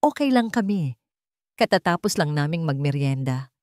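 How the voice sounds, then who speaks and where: Woman doing a bossy young wife in a story, brisk and commanding, dry recording. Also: low voice, very animated, a little mellow, no room sound. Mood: cheerful. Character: scornful young wife and mother, outwardly cheerful, bossy.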